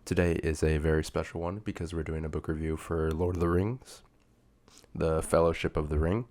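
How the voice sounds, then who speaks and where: A man's voice: rumbling low, steady tone, fairly quick, a little mellow. A young man chatting casually through a book review, his voice a bit off as he recovers from being sick. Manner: chatty, informal slang, frequent fillers. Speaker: booktube reviewer.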